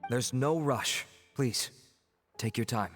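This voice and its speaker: A man's voice: mid-range voice, slow, lightly acted. A man voicing a courteous academy official. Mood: calm. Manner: polite, soothing, formal. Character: courteous young male academy official, calm. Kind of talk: video game voice acting. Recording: game audio under music.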